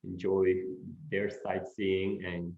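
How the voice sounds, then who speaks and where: A middle-aged man from Turkey, a professor answering interview questions in an unhurried way, with many "you know" fillers. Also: low-pitched, little pitch movement, darkish tone. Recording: echoey room, dull lo-fi sound.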